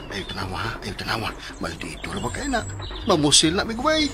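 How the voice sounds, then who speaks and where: A man's voice: fairly low pitch, wildly swooping pitch, brisk. A man acting in a radio drama as a wary, nervous young villager.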